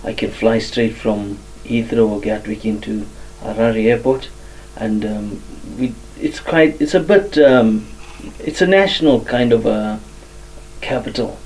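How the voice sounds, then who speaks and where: A man's voice: fairly low pitch, measured pace, far from the mic, slight background noise, rough recording. A man from Zimbabwe, a guest sharing his personal story in a hesitant way, with many ums and uhs. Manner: many fillers, soft-spoken.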